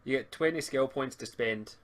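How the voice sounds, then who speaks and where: A man's voice: medium pitch, normal speed, fairly bright tone. A man acting as game master, explaining tabletop game rules over an online call, often stammering and restarting his words.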